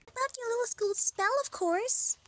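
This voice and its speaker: A woman voicing a mischievous, excitable young schoolgirl in an amateur comic fandub. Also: extremely high pitch, moderate pace, no room sound. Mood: teasing.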